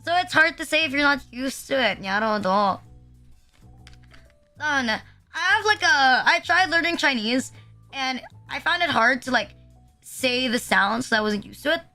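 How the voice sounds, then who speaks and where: A woman's voice: high voice, expressive, measured pace, pushed and bright, faint music behind. A young woman from the Philippines chatting casually on a livestream, rambling with constant fillers and frequent swearing. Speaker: VTuber streamer.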